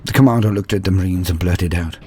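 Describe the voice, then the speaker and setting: Male storyteller, low-pitched, grave and theatrical, scripted dark fiction narration with faint music underneath. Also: quick pace, husky.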